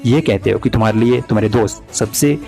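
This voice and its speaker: A man's voice: fairly low pitch, fast, creaky, dark tone. A young man playing a hurt, jealous boyfriend, reproachful and pleading in a dramatized breakup talk. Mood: sad, doubtful. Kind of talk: dramatized breakup phone conversation in Hindi. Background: background music.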